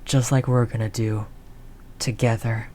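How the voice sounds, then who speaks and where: A woman playing a tough, doting mafia heiress girlfriend in an intimate audio roleplay, low-voiced, talking in affectionate slangy tough talk. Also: flat delivery, a little slow, dark tone, slight background noise. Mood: amused, loving.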